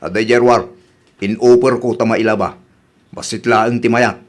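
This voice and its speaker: An actor with an Ilocano accent playing a grumbling husband from a poor village household in a radio comedy drama. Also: male voice, fairly low pitch, steady tone, normal speed, natural voice. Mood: irritated.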